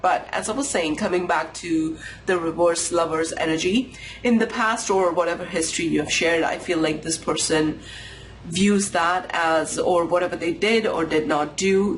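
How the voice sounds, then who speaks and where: A tarot reader giving a pick-a-card reading, voice nasal and a bit raspy from a cold, musing slowly with pauses. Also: medium pitch, slight background noise, low-quality recording. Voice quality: slightly raspy. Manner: unhurried, intuitive musing with pauses.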